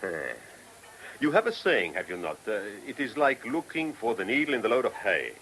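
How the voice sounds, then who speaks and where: An actor playing a genial, talkative Viennese police commissioner with an Austrian German accent and formal foreign phrasing, in a radio play. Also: male voice, fairly low pitch, sing-song, normal speed, a bit bright. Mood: genial, courtly. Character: genial, courteous Viennese police commissioner who loves food. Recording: noisy old radio drama recording.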